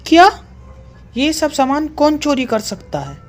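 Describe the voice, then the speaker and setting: A woman's voice: high-pitched, very animated, unhurried, faint music behind. A woman voicing a clever, eager young village boy who leads his friends in a children's cartoon story. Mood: curious.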